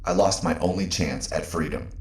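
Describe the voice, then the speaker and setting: Casting: actor as loyal killbot with a human mind, low, echoing voice, intense, insistent, protective. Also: male voice, normal speed, lightly acted. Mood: agitated, bitter. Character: Kai, loyal, intense male cyborg killbot, defensive and protective. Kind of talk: audio drama acting. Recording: echoey, with background ambience noise.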